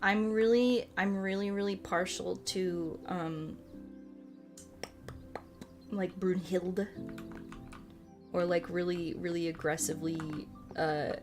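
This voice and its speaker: A woman in her late twenties chatting informally as a livestream host, rambling and animated, with loose, sweary language. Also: lowish voice, takes it slowly, pauses often, music in the background. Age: late 20s. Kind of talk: casual chat while playing a game, reading and answering chat.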